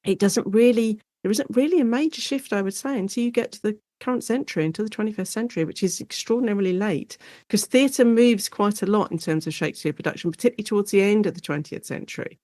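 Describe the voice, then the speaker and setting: Woman expert chatting in an interview, explains stuff kinda animated, medium pitch, no room echo. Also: quick pace, few pauses, studio quality. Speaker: guest academic and author.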